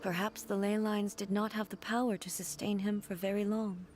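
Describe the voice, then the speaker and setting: A woman voicing a solemn, reserved female ruler in a video game, speaking slowly and softly in a measured way. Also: medium pitch, little pitch movement, music in the background, lightly acted. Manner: slow, measured, soft-spoken.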